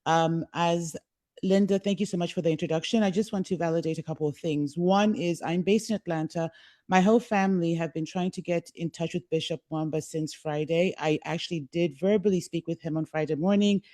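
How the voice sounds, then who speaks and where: A woman's voice: on the low side, steady tone, fairly quick, warm tone, no room sound. A woman from Zambia who lives abroad, making an earnest, urgent appeal as a guest speaker at an online press conference. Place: home, based abroad.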